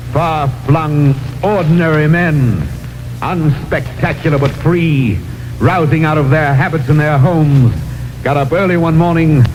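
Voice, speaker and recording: A man orates like a 1940s radio narrator. His slightly high voice moves in measured, poetic phrases. The old broadcast recording carries clear music underneath. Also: rough recording. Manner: declamatory, measured short phrases.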